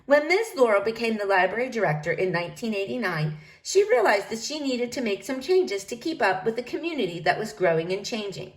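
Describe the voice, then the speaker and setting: A woman reading a children's book aloud, narrating in an expressive storytelling manner. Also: on the high side, a little fast, few pauses. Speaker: storybook reader.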